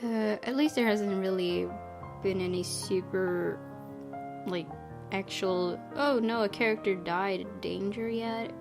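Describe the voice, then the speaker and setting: A woman's voice: medium pitch, steady tone, slow pace, gravelly. A woman hosting a game playthrough, reading story narration expressively and adding casual commentary. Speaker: gaming video host. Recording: game music behind.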